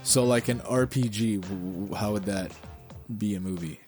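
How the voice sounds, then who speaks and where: Male podcast co-host, lowish voice, casual and opinionated, swears freely, music underneath. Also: normal speed, a little mellow. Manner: blunt, profane, opinionated. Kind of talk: casual pop culture chat.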